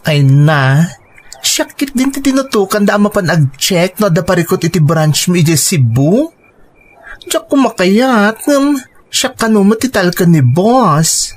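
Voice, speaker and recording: Young working woman actress, Ilocano accent, mid-pitched, earnest and pleading, for radio drama. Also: big pitch swings, unhurried. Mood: weary, complaining. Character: earnest, persuasive young working woman, devoted to her boyfriend.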